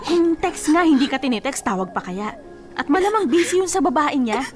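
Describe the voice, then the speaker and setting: High-pitched, sassy, scolding young cousin. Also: female voice, very animated, brisk, faint music behind. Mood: exasperated, scolding. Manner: scolding, blunt, colloquial. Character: blunt, exasperated, sassy young female cousin. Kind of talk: Tagalog radio drama acting.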